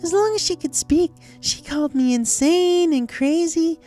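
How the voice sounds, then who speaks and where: A woman's voice: extremely high pitch, moderate pace, mellow. A woman voicing a manic, girlish cartoon pony killer in a dramatic story reading, sugary, theatrical and taunting, with sweet menace. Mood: gloating menace. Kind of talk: dramatic fan fiction reading in character. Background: music.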